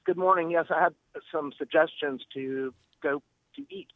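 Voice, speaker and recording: A man's voice: a little high, normal speed, no room sound, phone-quality sound. A man calling in to a radio show, talking casually over the phone.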